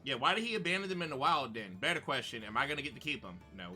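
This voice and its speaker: A male game streamer with a bright, forward voice at a middling pitch. He reacts casually and wryly in slang, with faint music in the background. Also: expressive, very fast. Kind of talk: casual live gameplay commentary.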